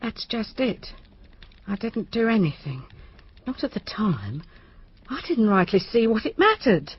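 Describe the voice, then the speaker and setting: An actress playing a deferential, anxious widowed cleaner, confiding hesitantly in a radio drama. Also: female voice, mid-range voice, very animated, a little slow, husky, muffled recording, exaggerated voice. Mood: uneasy.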